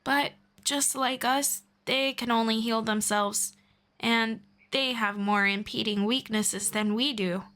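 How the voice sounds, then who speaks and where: A woman voicing a patient, gently formal female elf friend in an ASMR roleplay, speaking softly and politely. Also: a little high, steady tone. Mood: calm, patient. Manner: soft, unhurried, politely formal.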